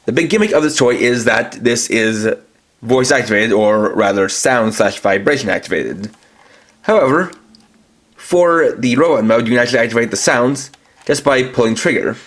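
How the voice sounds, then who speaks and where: Man calmly explaining a toy, step by step. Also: mid-range voice, moderate pace. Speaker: toy reviewer. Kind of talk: toy review demonstration.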